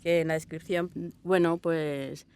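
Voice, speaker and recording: Woman with a Castilian Spanish accent, slightly low voice, expressive director chatting informally and wandering through her answers. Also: measured pace, smooth. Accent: Spanish (Spain). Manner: expressive, rambling, acts out quoted dialogue. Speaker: audio drama director being interviewed. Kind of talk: informal, anecdotal interview answers. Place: small sound booth.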